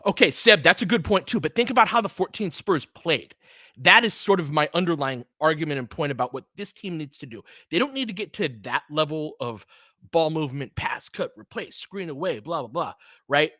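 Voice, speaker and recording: A man's voice: fairly high pitch, expressive, brisk, bright, forceful tone, no room sound, studio quality. A man giving casual, opinionated basketball play-by-play as a fan host, chatting conversationally with listeners between calls. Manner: conversational, opinionated, answers chat commenters by name.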